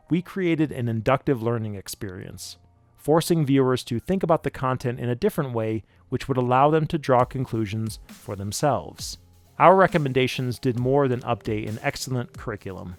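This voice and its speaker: A man delivers scripted explainer narration as the narrator for a video production. His voice sits at a middling pitch, with music playing softly underneath. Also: expressive, moderate pace, no room sound. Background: background music.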